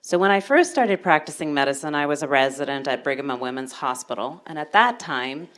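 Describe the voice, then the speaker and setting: A woman from Sudan, a gynecologist speaking on a conference panel, who talks in a measured way and leads the audience through questions. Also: deep voice, lively intonation, a bit bright. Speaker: panelist, OB-GYN. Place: lecture hall.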